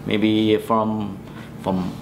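A man in his forties from Vietnam with a Vietnamese accent, recounting memories in a halting, hesitant way as he searches for words in an interview. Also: on the low side, level tone, gravelly, background noise. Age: 40s. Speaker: interviewee, musician. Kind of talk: oral history interview, personal recollection. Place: small bedroom, indoors.

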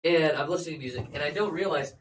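A teenage boy with a slightly raspy throat, rambling through a story in casual chat around a classroom table. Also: a little high, rapid-fire, distant mic, a little hiss or hum, low-quality recording. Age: teens. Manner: rambling storytelling, lots of fillers. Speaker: podcast co-host.

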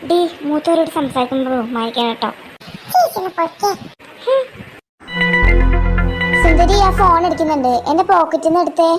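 A performer voicing a bossy, quick-tempered elder sister in a comedy cartoon, with curt, scolding delivery. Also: very high voice, music in the background, low-quality recording. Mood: scolding, impatient. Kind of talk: Malayalam comedy cartoon dialogue.

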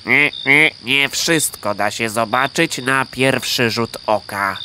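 Very deep sly gander. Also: female voice, slow pace, pushed and bright, exaggerated voice. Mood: sly, commanding. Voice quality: honking interjections. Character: proud scheming male gander, sly flattering bully. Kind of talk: children's audio drama acting. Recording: clear background noise of night insects.

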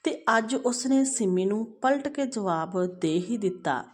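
A woman storyteller with a medium-pitched voice. She narrates a family story with a moral, addressed to her listeners. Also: animated, unhurried.

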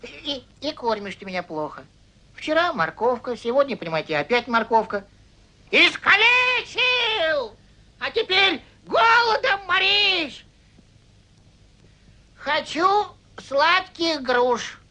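High-pitched whiny cartoon hare. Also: female voice, big pitch swings, slow, bright, forceful tone, full character voice. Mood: whiny, demanding. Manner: whiny, theatrical moaning. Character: whiny scheming male hare feigning a crippled leg. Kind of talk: animated cartoon voice acting.

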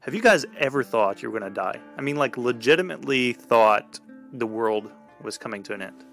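A man in his thirties with a gravelly voice, telling a personal story conversationally as a podcast host. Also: mid-range voice, lively intonation, a little fast, a bit bright, soft background music.

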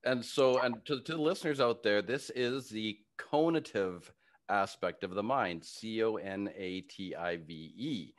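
A man's voice: on the low side, measured pace. A middle-aged man hosting a podcast interview over a video call, asking enthusiastic, encouraging questions. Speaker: podcast host. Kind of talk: casual interview talk. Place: home studio with brick wall. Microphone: studio mic on video call.

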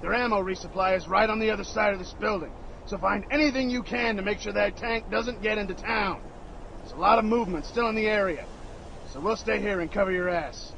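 A hard-nosed American sergeant in a war game, pitched high for a man with a lot of gravel. He is blunt and commanding, with faint vehicle noise outdoors behind him. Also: a little fast, a bit bright, rough recording. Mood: firm. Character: tough, no-nonsense American paratrooper sergeant giving orders.

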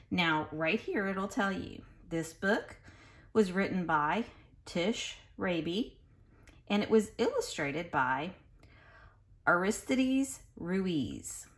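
A woman reading a picture book aloud to young children like a teacher, expressive and carefully spoken, with explanatory asides and questions. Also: fairly low pitch.

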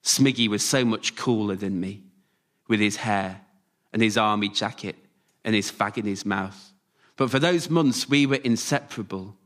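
A man performing a scripted autobiographical story live, delivered in measured short phrases. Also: on the low side, a bit bright. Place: radio theatre stage, before a live audience.

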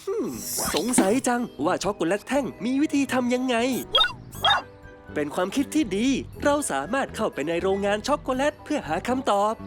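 A woman's voice: fairly high pitch, animated, normal speed, natural voice. A woman voicing a cheerful, curious young male cartoon explorer in a dub, polite and upbeat. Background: cartoon music.

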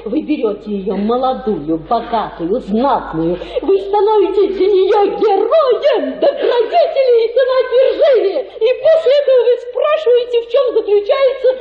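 High-pitched theatrical scheming society mother. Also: expressive, normal speed, hardly any pauses. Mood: rapturous. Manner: gushing, declamatory, persuasive. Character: scheming, theatrical provincial lady, a manipulative matchmaking mother. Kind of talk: Russian radio play acting. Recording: echoey room, dull muffled sound.

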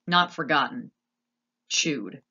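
A woman voicing a gruff, brooding old male jailer, solemn and declamatory, in a storybook reading. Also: very deep voice, expressive, slow, sharp tone, muffled recording, heavily acted. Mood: grumbling. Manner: speaks of himself in third person, declamatory.

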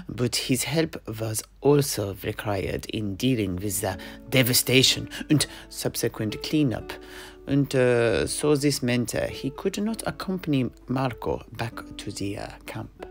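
A man's voice: medium pitch, expressive, measured pace, gravelly. A man playing a mercenary knight who recounts his grandfather's adventures in a hesitant, conversational way for a tavern audio play. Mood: measured storytelling. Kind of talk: in-universe storytelling audio play. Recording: music behind the voice.

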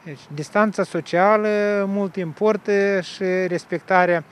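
A man in his sixties giving a halting, hesitant street interview answer into a handheld microphone. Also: high voice. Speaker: interviewed passer-by. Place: city park, outdoors. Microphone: handheld reporter microphone.

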